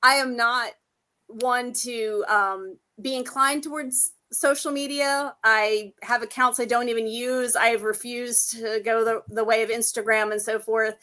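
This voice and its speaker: A woman's voice: fairly high pitch, steady tone, measured pace, sharp tone. A woman talking casually and conversationally in a book discussion, rambling a little as she goes.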